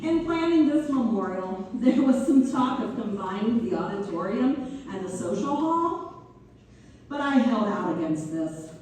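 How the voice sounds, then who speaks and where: A woman playing a gracious, wryly humorous benefactor delivering a measured, playful dedication speech in a staged reading. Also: fairly high pitch, very animated, darkish tone. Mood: humble, playful. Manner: measured, deliberate, playful oratory. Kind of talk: theatrical read-through of a formal speech. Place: theater hall, live staged reading. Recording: echoey hall from far off, faint noise.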